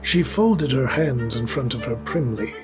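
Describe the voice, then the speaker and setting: A man narrates a scripted story. His voice sits at a middle pitch, and music runs clearly behind the storytelling. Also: expressive, a little slow.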